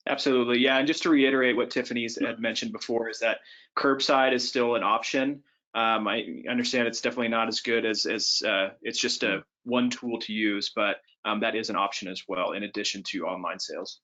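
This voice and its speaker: Low bright-voiced man on a webinar. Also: fairly even intonation, a little fast. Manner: hesitant with ums and restarts. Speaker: business advisor. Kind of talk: webinar remarks. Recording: dull distant web call audio.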